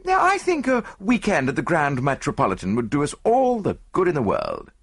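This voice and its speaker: Good-natured English gentleman sidekick, played by a male narrator: breezy, eager, raised animated voice, storybook mystery. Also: a little high, fairly quick, husky, pitched above the performer's own voice, exaggerated voice. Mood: cheerful.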